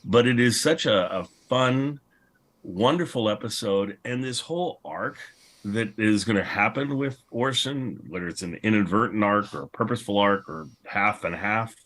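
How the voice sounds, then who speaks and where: A man's voice: lowish voice, moderate pace, fairly bright tone. A man moderating a casual panel discussion, explaining things in a conversational, rambling way. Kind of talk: casual panel discussion about old radio.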